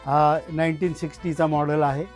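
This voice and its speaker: A man's voice: fairly high pitch, little pitch movement, fairly quick, soft background music. A man talks informally as a collector, explaining his items in short phrases. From India. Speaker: radio collector being interviewed.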